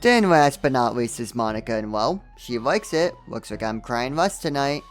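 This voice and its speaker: A man narrates a comedic game review in a mid-pitched voice. He tells the story in a scripted way and drops in dry, sarcastic asides. Music plays along behind him. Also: very animated, normal speed.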